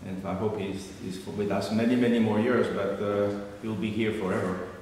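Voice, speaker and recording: A man in his sixties whose first language is French, speaking English from a concert stage to an audience, halting with fillers and restarts. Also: fairly low pitch, fairly even intonation, a little mellow, slight background noise. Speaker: violinist addressing a concert audience. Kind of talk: unscripted speech from the stage. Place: large concert hall stage with live audience. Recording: distant voice in a reverberant concert hall.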